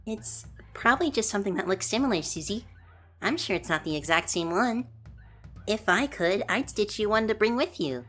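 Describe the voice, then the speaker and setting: A woman voicing a gentle, earnest young prince who explains things patiently and is eager to help, in an audio drama. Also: mid-range voice, animated, normal speed, soft background music. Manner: soft-hearted, patiently explaining.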